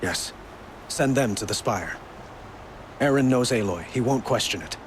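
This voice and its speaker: A man's voice: medium pitch, animated, measured pace, noisy background, natural voice. A man playing an earnest, dignified young king in a video game, speaking formally and carefully. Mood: firm, concerned. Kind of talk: video game cutscene acting.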